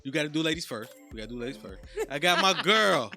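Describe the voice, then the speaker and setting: A man's voice: fairly high pitch, expressive, quick pace, creaky, pushed and bright, faint music behind. A man hosting a casual podcast interview, hyped and profane, repeating lines for emphasis. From Chicago south suburbs. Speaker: podcast host.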